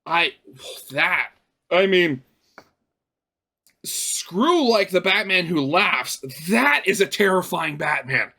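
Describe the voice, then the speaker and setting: A man's voice: sits high, lively intonation, slow pace, pauses often, sharp tone. A young man hosting a reaction video, chatting casually and rambling with lots of fillers and restarts.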